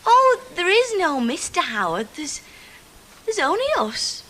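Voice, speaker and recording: Chatty landlady type, really high bright voice, bouncy. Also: sing-song, unhurried, slight background noise, heavily acted, poor audio. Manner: chatty, homely, colloquial. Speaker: woman posing as a landlady.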